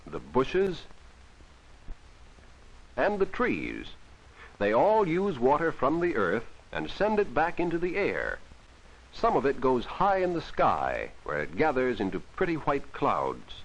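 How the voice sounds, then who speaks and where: A man's voice: medium pitch, low-quality recording. A man narrating a vintage 1940s educational film for children, explaining things simply and instructively on an old film soundtrack.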